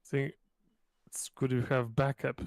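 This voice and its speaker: A man's voice: mid-range voice, little pitch movement, slow, creaky, no room sound. A man trading casual jokes as a player on a tabletop game stream. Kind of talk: casual joking banter.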